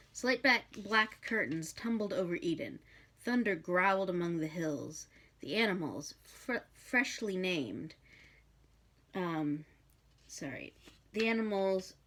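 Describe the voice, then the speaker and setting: A woman's voice: on the low side, a bit bright. A woman reading a novel aloud expressively, with a wry delivery and the occasional stumble over a word.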